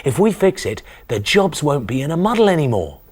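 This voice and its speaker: Man acting plucky young pig, high pitch, excitable, breathy, lively swoops, children's storybook. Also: brisk. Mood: excited.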